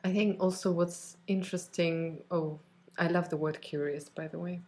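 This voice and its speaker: A woman's voice: lowish voice, fairly even intonation, normal speed, a little mellow. A woman hosting a podcast, introducing her guest enthusiastically and asking questions in a casual way with frequent fillers. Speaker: podcast host. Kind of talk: casual interview.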